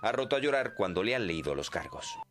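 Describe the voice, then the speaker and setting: A man's voice: mid-range voice, wildly swooping pitch, fairly quick, a bit bright, a little hiss or hum. A male news reporter delivering a scripted report.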